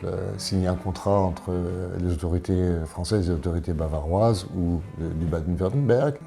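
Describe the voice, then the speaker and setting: Very deep, dark-voiced man in his sixties. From Paris, France. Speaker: election candidate being interviewed. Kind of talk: interview answers, self-presentation.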